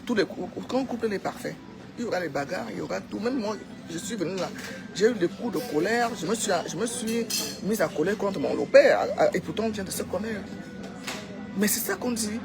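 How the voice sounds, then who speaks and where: A woman's voice: mid-range voice, lively intonation, brisk, vocal fry, music in the background. A woman with a Cameroonian accent giving an informal, opinionated monologue to followers, exhorting them and repeating phrases for emphasis. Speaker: social media commentator.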